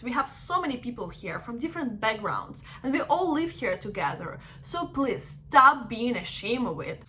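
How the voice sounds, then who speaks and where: Bright-voiced young woman with a Russian accent. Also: fairly high pitch. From Ukraine. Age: young adult. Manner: earnest, encouraging, often asks "right?". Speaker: vlogger. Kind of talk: casual talk to camera.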